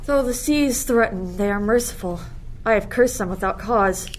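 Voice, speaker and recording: A woman's voice: medium pitch, normal speed, close to an everyday voice. A woman playing a devoted young royal lover in a Shakespeare radio play, speaking earnestly and formally. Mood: earnest, reverent. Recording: noisy recording with ambient sound texture.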